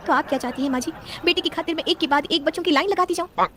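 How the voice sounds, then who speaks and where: A woman playing a sensible, resolute wife and mother in a cartoon story, reasoning with calm firmness. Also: very high-pitched, racing, a faint crowd, pitched above the performer's own voice. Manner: reasoning, calmly firm.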